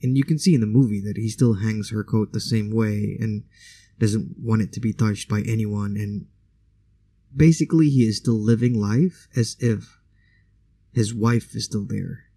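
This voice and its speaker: A man's voice: lowish voice, moderate pace, vocal fry, dark tone, husky, dry recording. A man whose first language is Indonesian, hosting a solo podcast in a conversational, opinionated way with casual asides. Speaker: podcast host.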